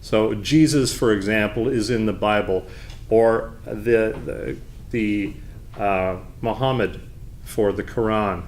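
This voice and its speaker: A man delivering an off-the-cuff debate speech as opposition leader, formal and deliberate, with halting phrasing. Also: lowish voice. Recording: background noise, live room.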